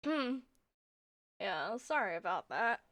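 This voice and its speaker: A woman's voice: mid-range voice, sing-song, slow pace. A woman livestreaming a game, chatting casually in a laid-back, rambling, self-deprecating way. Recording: roomy echo, soft background music. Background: soft background music.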